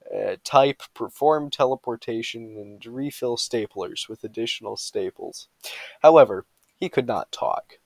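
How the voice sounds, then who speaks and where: A man's voice: medium pitch, creaky, no room sound. A man reading humorous bedtime stories aloud with a mock-serious storytelling delivery.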